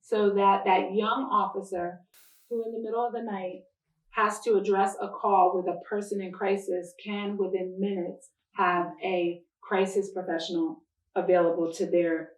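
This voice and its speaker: A woman county prosecutor giving formal remarks at a public announcement in a measured, deliberate, official way. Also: medium pitch, steady tone. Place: church interior. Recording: echoey room, distant microphone.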